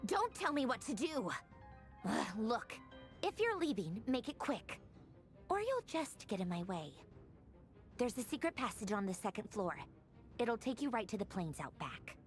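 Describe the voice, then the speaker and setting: A woman voicing a haughty, sharp-tongued young inventor in a video game, bossy and theatrical as she commands her machines. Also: on the high side, sing-song, moderate pace, soft background music. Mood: haughty, irritated. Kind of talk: video game character acting.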